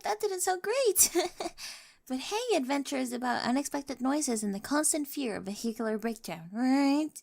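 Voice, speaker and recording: Lady playing a quirky nerdy teen girl with a crush, highish creaky voice, awkward asmr roleplay rambling. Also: darkish tone. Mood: nervous upbeat. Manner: rambling, self-undercutting, nervous confessions. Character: eccentric, awkward, infatuated nerdy teenage girl, over-eager planner. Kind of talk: ASMR romantic roleplay monologue.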